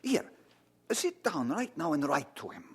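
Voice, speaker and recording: An actor playing a loyal, eager young student in a radio drama, coaxing and excitable, with a breathy, raspy voice. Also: male voice, a little high, measured pace, full character voice. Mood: encouraging.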